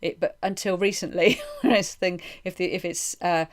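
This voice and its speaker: A woman's voice: lowish voice, fairly even intonation, brisk. A woman hosting a casual interview, thinking aloud in a reflective way. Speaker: podcast host.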